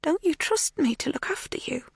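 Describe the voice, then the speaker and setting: An unhinged woman villain from an audiobook thriller, played by a woman narrator. She sounds plaintive yet disdainful and brooding, breathy and raised in pitch. The recording is very dry. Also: very animated, takes it slowly, dry recording, heavily acted. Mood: menacing hush.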